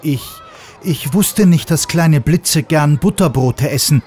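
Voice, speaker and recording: Ein Mann, der in einer Hörgeschichte ein empörtes, schimpfendes Schulmädchen spricht. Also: male voice, a little high, expressive, some chatter behind, slight background noise, pitched above the performer's own voice, natural voice. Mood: puzzled. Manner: scolding, quick to take offense. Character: indignant young schoolgirl.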